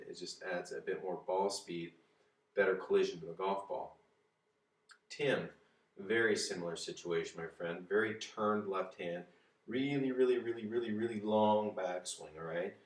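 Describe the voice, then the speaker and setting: Hoarse man, very low voice, golf coach, echoey kitchen, far from mic. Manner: easygoing, demonstrating swing positions while talking. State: hoarse from a cold. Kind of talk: casual video tips. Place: home kitchen and dining room, indoors. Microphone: far camera. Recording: echoey room, voice from a distance.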